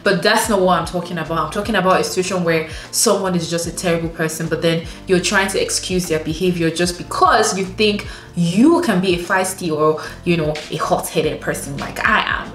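Young woman vlogging at home, lowish voice, real blunt and emphatic, calls you sis, echoey room. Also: a little fast, hardly any pauses. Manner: frank and emphatic, addresses viewers as sis, laughs easily. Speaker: lifestyle vlogger. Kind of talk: candid direct-to-camera advice. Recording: roomy echo with faint music.

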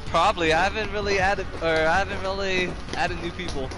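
A man's voice: high voice, fairly even intonation, brisk. Chatty young guy, game music playing. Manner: loose, profane, chatty banter. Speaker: streamer playing with friends. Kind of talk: casual gaming chat.

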